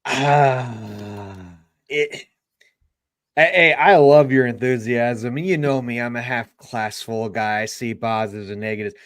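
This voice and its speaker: A man co-hosting a live sports talk show, answering in a measured, agreeable way. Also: medium pitch, fairly even intonation, fairly bright tone. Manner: measured, agreeable, wait-and-see. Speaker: co-host.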